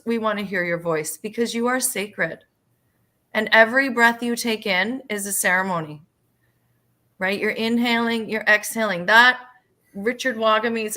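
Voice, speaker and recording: Bright-voiced woman, earnest and reflective. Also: medium pitch. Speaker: singer leading a drumming circle. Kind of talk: gentle spiritual teaching, informal.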